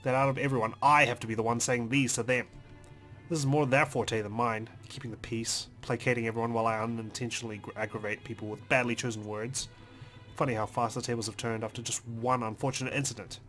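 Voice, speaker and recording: A man reading a video game's story aloud as a let's player, in an expressive, dramatic narrating style. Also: mid-range voice, fast. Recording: music behind the voice.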